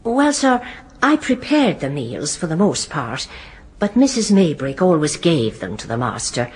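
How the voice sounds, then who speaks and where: An actress playing a respectful household cook on the witness stand, with homely, slightly hesitant servant speech. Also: female voice, low voice, big pitch swings, a little slow, breathy edge, full character voice. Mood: respectful, earnest. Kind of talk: radio courtroom drama acting.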